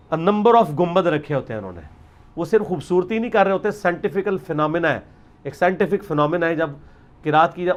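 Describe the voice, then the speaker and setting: A Pakistani man with a slightly high voice speaks as a religious lecturer. He talks off the cuff, building an argument point by point and explaining as he goes, dropping English words into his speech. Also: highs cut off. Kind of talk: informal religious lecture, extempore.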